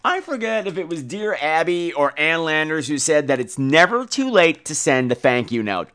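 A man's voice: fairly high pitch, sing-song, moderate pace, a bit bright, clear, resonant tone. A man hosting a podcast with informal talk, campy, sassy and foul-mouthed in manner. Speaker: podcast host.

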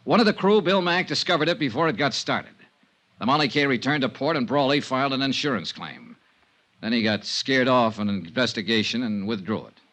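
An actor playing a tough, wry insurance investigator in a 1950s American radio drama, clipped and matter-of-fact. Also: male voice, medium pitch, lively intonation, fairly quick, a bit bright. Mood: assured, reasoning. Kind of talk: 1950s radio detective acting. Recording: vintage 1950s radio broadcast.

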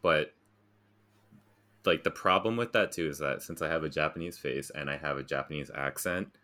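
An American man with an extremely low voice that still has a bright, forward edge. He talks casually, like a guest telling his own story, informal and a little self-deprecating. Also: moderate pace. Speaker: interview guest, graphic designer.

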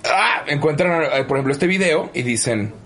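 A man's voice: on the high side, animated, moderate pace, a bit bright. A man with a light northern Mexican accent, chatting casually and comedically on a podcast, slangy and foul-mouthed. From Monterrey, Mexico. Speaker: podcast guest.

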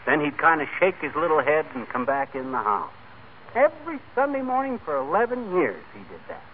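Folksy braggy husband from 40s radio comedy, highish lively voice, tinny old broadcast. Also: male voice, a little fast, slight background noise. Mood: folksy bragging. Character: folksy, boastful, tall-tale-telling husband and host. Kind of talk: 1940s radio comedy acting.

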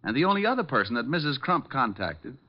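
An actor playing a suave, quick-witted private detective in a radio drama, wry, unhurried and self-assured. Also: male voice, medium pitch, very animated. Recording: dull vintage radio recording.

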